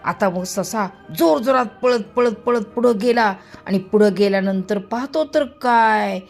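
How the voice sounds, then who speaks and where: A woman's voice: mid-range voice, a little slow, a little mellow. A woman telling a story to young children expressively, drawing out and repeating words for emphasis, with music behind her. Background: background music.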